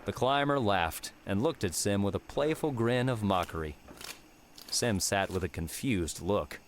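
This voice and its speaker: Low-voiced man narrating an adventure audiobook. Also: animated, a little slow, a little hiss or hum. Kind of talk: scripted narration of an adventure novel.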